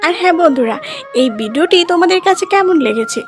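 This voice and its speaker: A woman with a high-pitched voice tells a scripted story for children. She narrates as a storyteller, with soft music playing behind her. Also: animated, quick pace.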